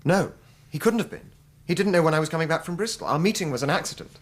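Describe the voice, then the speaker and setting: An actor playing a distressed, defensive young man testifying in a radio drama, earnest, emotional and halting. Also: on the high side, expressive. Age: young adult.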